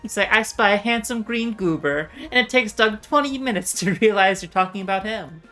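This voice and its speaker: A woman's voice: medium pitch, expressive, brisk, sharp tone. A woman streaming a video game, reading its text aloud with casual asides. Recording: music behind the voice.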